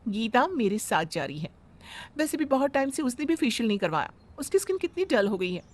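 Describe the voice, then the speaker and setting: A woman's voice: a little high, sing-song, fairly quick. Woman playing a sassy spoiled young bride. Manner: cheeky, dismissive.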